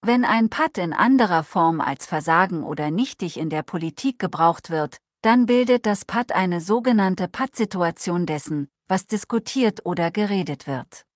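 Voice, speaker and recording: A woman's voice: on the low side, expressive, moderate pace, few pauses, no echo, studio quality, close to an everyday voice. A woman reading the part of an outspoken Swiss man whose native tongue is Swiss German, in an earnest, blunt and polemical manner with long formal sentences. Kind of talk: reading a transcribed conversation aloud.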